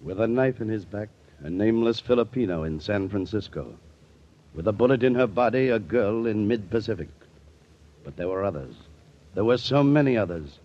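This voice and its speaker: An actor playing a grim, terse American private detective narrating a 1950s radio drama. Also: male voice, on the low side, expressive, moderate pace. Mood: grim, brooding. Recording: dull vintage 1950s radio recording.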